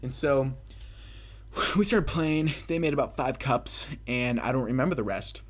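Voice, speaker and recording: A young man with a mid-pitched voice rambles through a casual story as if chatting to his viewers. He swears freely and talks with crude, self-mocking bravado, in the loose style of gameplay commentary. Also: unhurried. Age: young adult. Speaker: gaming video commentator.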